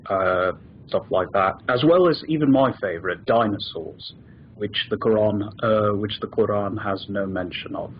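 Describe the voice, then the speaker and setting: A man calling in to a discussion show, explaining his views with many pauses and restarts. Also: fairly low pitch, dull audio, slight background noise. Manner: hesitant, many fillers and restarts. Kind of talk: call-in discussion.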